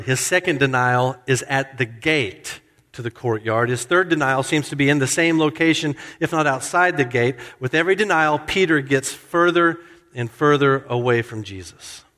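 Preacher with a long hall echo. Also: male voice, fairly bright tone. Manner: expository preaching, acts out quoted lines with rising pitch. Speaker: pastor. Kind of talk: sermon. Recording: hall reverb.

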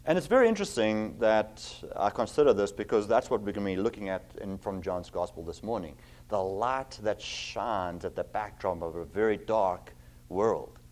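A middle-aged man from South Africa with a South African accent, preaching a sermon as a visiting pastor, earnest with many fillers, reading scripture slowly and with emphasis. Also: on the low side, darkish tone.

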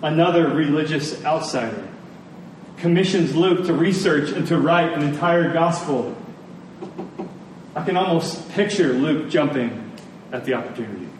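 A man preaching a sermon as a pastor, conversational and earnest, with rhetorical questions and emphatic pauses. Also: fairly high pitch, darkish tone. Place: large room, preaching to a congregation. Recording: echoey room, distant mic, faint noise.

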